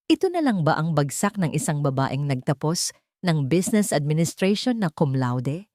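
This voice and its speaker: Low-pitched, highly animated woman narrating drama. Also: no room sound, studio quality. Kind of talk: dramatic first-person scripted story narration.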